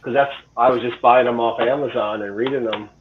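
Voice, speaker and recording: A man's voice: medium pitch, fairly even intonation, a little fast, noisy background. Forty-two-year-old man on a tinny line. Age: 40s. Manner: easygoing, asks questions. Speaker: podcast co-host. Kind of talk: casual chat. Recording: tinny phone-like call audio.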